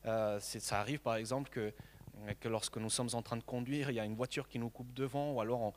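Earnest halting preacher in a reverberant hall. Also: male voice, mid-range voice, fairly even intonation. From Mexico. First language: Spanish. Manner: earnest, halting with frequent repeated words. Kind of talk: sermon.

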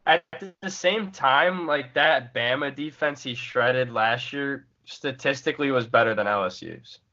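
A man co-hosting a casual sports podcast, measured and conciliatory, often restarting his sentences. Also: mid-range voice, pushed and bright, dull audio. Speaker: podcast co-host.

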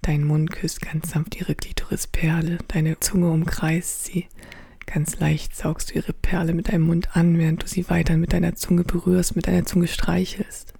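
A woman in an audio drama whispering slow, intimate second-person narration in a breathy voice. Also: deep voice, warm tone, dry recording, clean studio sound. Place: studio.

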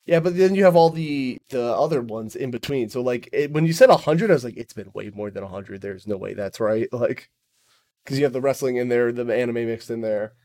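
Animated, self-mocking man chatting. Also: mid-range voice, brisk. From United States. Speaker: guest. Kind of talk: casual chat.

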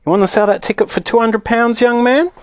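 A man putting on a loud, pushy woman. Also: extremely high pitch, fairly even intonation, fairly quick, clear, resonant tone, no echo, clean studio sound, pitched above the performer's own voice. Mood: indignant, pushy. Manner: loud, insistent. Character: pushy woman in a crowd, outbidding others. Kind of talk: children's audiobook character voice.

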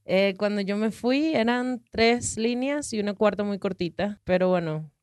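Venezuelan woman, 30s, podcast guest, loose storytelling voice, mid pitch, dry close sound. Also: normal speed, no room sound. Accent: Venezuelan Spanish. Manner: relaxed, rambling storyteller who laughs easily. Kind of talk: casual conversational interview.

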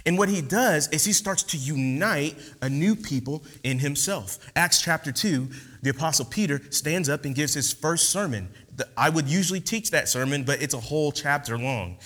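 A man's voice: fairly high pitch, normal speed. A man giving a casual, slangy sermon after an opening prayer, talking with many fillers. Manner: relaxed, slangy, animated teaching with many fillers. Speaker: preacher. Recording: long hall reverb.

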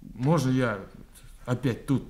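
An actor playing a naive, good-hearted young policeman in a radio drama, plaintive and halting as he repeats himself. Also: medium pitch, a little mellow, natural voice. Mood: aggrieved.

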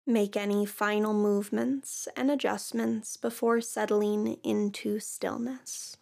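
Slow, gravelly woman guiding meditation. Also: medium pitch, level tone. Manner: slow, soft, soothing guidance with long pauses. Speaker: yoga nidra teacher. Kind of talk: guided meditation.

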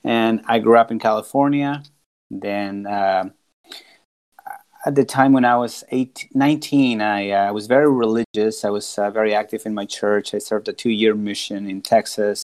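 A man's voice: on the low side, steady tone, normal speed, a little mellow. A man in his forties, from Peru, speaking as a podcast guest in an earnest, reflective way, often restarting and repeating words as he thinks. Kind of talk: casual podcast conversation.

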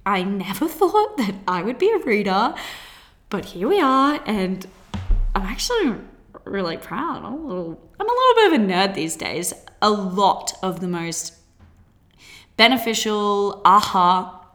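A woman in her twenties with an Australian accent, hosting a solo podcast in a bubbly, chatty way with quick laughs. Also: medium pitch, very animated, fairly bright tone. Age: 20s. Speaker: podcast host.

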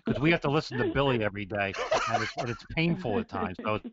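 A man's voice: on the low side, lively intonation, quick pace, highs cut off, a loud crowd. A man co-hosting a sports talk radio show, joking around and stumbling over his words a bit. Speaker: radio co-host.

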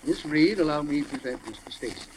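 A man's voice: a little high, animated, quick pace, lightly acted. Man playing a courtly absent-minded boss, noisy recording. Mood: flustered. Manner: courtly, fussy, formal. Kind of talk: 1940s radio acting. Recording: noisy old-time radio recording.

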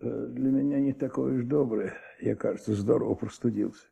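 A stubborn brooding police detective in a radio drama, gravelly, kinda husky, mutters questions to himself. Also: male voice, mid-range voice, brisk, warm tone, exaggerated voice. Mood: weary, businesslike. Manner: muttering, pondering aloud in rhetorical questions. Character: brooding middle-aged French police commissioner, stubborn detective. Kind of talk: Soviet radio play acting.

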